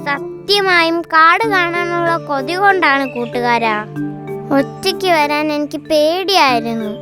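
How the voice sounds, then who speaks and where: High, timid childlike cartoon cat. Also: female voice, unhurried, music in the background. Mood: sheepish. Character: timid, eager childlike leopard cat, sheepish.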